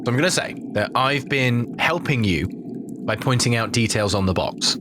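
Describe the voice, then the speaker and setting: A man's voice: medium pitch, lively intonation, moderate pace. A man playing a tabletop role-playing game, improvising in casual conversation. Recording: clear background noise, room ambience.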